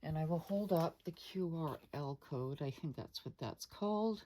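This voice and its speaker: A woman hosting her own art channel, chatting casually at her dining table. She rambles with many fillers and asides to viewers, her voice lower than usual today. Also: animated, normal speed, dark tone, slight background noise. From Canada. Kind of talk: casual unscripted chat. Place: home dining room table.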